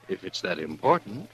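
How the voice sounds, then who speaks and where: An actor playing an arrogant, scheming frontier boss who talks in a smug, sneering way, in 1950s radio drama style. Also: male voice, sits low, full character voice, rough recording.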